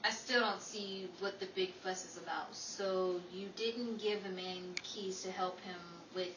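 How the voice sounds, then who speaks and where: A woman playing a dry, gently mocking friend who teases, in an old-time 1940s radio comedy style. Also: medium pitch, steady tone, a little slow, lightly acted. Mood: shrugging sympathy. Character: Rachael, dry, teasing woman among bumbling friends. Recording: echoey, distant, noisy recording.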